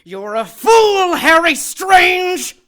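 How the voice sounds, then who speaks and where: A woman's voice: very high-pitched, very animated, drawn-out words, noisy background, exaggerated voice. A woman playing a scheming, megalomaniac male sorcerer villain in an audio drama, theatrical and taunting. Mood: frenzied, commanding.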